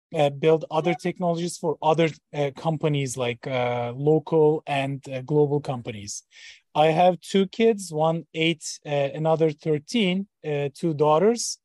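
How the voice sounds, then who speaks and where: A man in his forties, from Turkey, speaks as a podcast guest in a fairly high voice. He hesitates often and fills the gaps with many ums and uhs. The sound is close and dry. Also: darkish tone, no room sound. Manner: hesitant, many fillers and restarts. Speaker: startup founder and professor. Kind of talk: interview guest.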